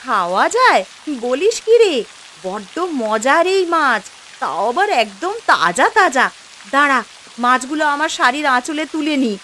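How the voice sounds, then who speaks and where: A woman voicing a poor village wife and mother in a cartoon, fretful but tender, with an expressive, homely delivery. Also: high voice, moderate pace, slight background noise, heavily acted. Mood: pleased surprise. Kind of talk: cartoon voice acting.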